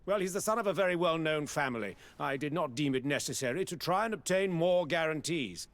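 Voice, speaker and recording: An actor playing an evasive, grudging merchant in a video game, defensive and brusque in manner. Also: male voice, fairly high pitch, expressive, a little fast, a bit bright. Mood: defensive, irritated. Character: evasive, grudging male merchant client owed money.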